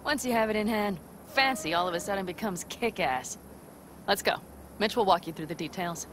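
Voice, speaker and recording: A woman's voice: medium pitch, fairly quick, background noise, natural voice. An actress playing a blunt, determined nomad woman in a video game, assertive and matter-of-fact. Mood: earnest, wry.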